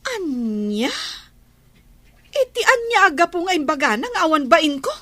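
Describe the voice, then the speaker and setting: An actress with an Ilocano Filipino accent playing a feisty, easily offended village wife in a comedy radio drama. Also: female voice, sits high, big pitch swings, normal speed, pushed and bright, no room sound, exaggerated voice. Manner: confrontational, questioning.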